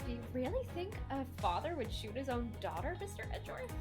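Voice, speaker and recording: A woman voicing a distraught, high-strung young woman in a melodramatic fan dub of a video game, with music under her. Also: big pitch swings, brisk. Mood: shaken, pleading. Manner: melodramatic, emotional delivery.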